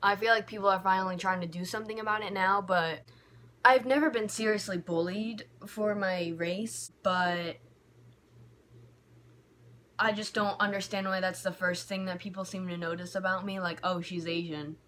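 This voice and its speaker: A woman's voice: medium pitch, steady tone, moderate pace, a bit bright. Young american woman vlogging at home, rambly and candid, lots of likes, gets fired up, faint hiss behind her. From United States. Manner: candid and rambling, lots of "like" and restarts, heating up when frustrated. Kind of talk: personal reflective vlog. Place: home bedroom by a window.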